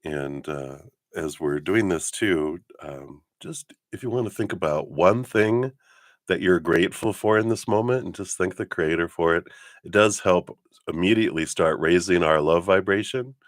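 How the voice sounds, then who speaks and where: A man with a low morning voice hosting a live spiritual reading stream, chatting casually in a giddy, effusive way. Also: moderate pace, no echo, studio quality. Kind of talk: casual livestream chat with meditation guidance.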